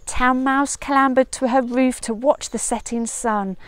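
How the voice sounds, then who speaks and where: Female early years reader for picture books: expressive, slow-paced, fairly high pitch. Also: dry recording. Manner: expressive, measured storytelling. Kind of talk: children's storybook read-aloud. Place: outdoors in a leafy woodland garden.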